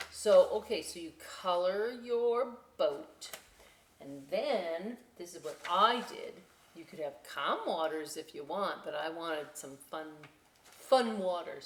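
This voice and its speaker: A woman hosting a children's storytime, reading and chatting informally in an expressive, emphatic way. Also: sits low, a little hiss or hum. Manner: expressive, emphatic, unhurried delivery. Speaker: library storytime host. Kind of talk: children's read-aloud with informal asides. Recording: echoey room.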